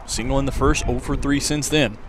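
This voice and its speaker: A man's voice: medium pitch, steady tone, fairly quick. A man calling a baseball game live as a radio play-by-play announcer. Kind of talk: live radio sports commentary. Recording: outdoor ballpark crowd and ambient noise. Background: ballpark crowd, outdoor noise.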